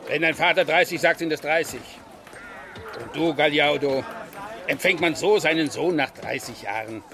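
An actor playing a blunt, authoritative knightly gentleman in a radio play. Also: on the high side, normal speed. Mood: commanding. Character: commanding knightly gentleman among rough townsfolk. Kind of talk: German radio play acting.

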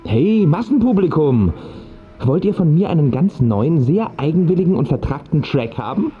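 A man's voice: fairly high pitch, very animated, moderate pace. An actor playing a needy, restless male pop singer with a pleading, questioning manner in a radio play. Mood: eager, pleading. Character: Bruno, needy, agitated male pop singer chasing success and love. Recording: muffled radio play, music underneath.

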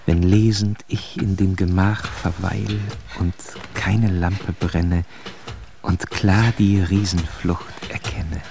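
Deep, slow, grave poetic reciter. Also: male voice, steady tone, gravelly, background noise, heavily acted. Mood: solemn, reverent. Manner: declamatory, deliberate. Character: grave poetic male reciter of verse and distant places. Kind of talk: solemn radio drama recitation.

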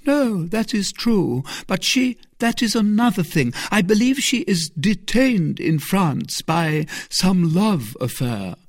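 A man voicing a kindly, anxious convent abbess who questions earnestly and hesitantly, in a raised husky voice. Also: high-pitched, big pitch swings, slow, few pauses, no echo, studio quality, voice pushed up, full character voice. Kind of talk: audiobook character reading.

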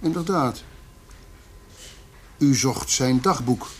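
A man's voice: medium pitch, expressive, takes it slowly. A male actor playing a polite police investigator in a radio drama, dry, understated and formal. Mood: dryly probing. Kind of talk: Dutch radio drama acting. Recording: faint background noise.

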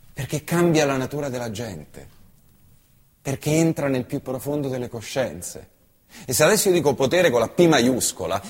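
A husky-voiced man delivers a stage monologue with fierce conviction, his voice dropping to near-whispers and then surging out again. His voice carries a long theater reverb that trails after each phrase. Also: medium pitch. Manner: impassioned, declamatory, swinging from hushed to forceful. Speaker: stage actor.

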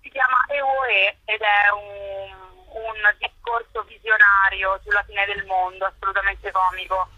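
Bright, husky woman on the phone. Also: medium pitch, steady tone, measured pace, hardly any pauses, no echo. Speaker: interviewed festival curator and performer. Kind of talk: live radio phone interview. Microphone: telephone. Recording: tinny roomless phone line.

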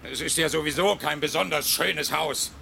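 Sharp, high-pitched pedantic official. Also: male voice, moderate pace, creaky, slight background noise, exaggerated voice. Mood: officious, needling. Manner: bureaucratic, repetitive, hesitant. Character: pedantic, officious, exasperated council bulldozer foreman. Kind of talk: German radio comedy drama.

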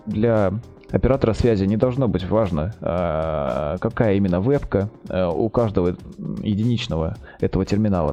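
A man with a low and very mellow voice speaks as a company guest on an informal interview show. He presents in businesslike, sales-like phrasing. Faint music sits underneath. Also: dull audio. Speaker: company representative, project manager, interview guest. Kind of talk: informal product presentation and answers.

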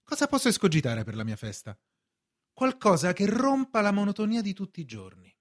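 An actor playing an indignant, bewildered bank clerk of thirty in a theatrical radio drama, protesting and questioning. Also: male voice, high voice, wildly swooping pitch, a little fast, full character voice. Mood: musing, petulant.

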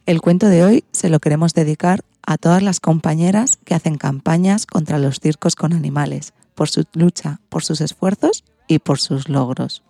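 A woman's voice: sits low, normal speed, creaky, few pauses, mellow, dry recording. A woman with an accent from Spain, hosting and narrating a children's story from a script. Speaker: podcast host and storyteller.

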